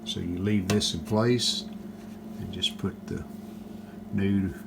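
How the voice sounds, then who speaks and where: A man narrating a hands-on restoration how-to in a casual, plainspoken way, often pausing mid-sentence. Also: sits low, steady tone, vocal fry, background noise. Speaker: host of a restoration how-to video.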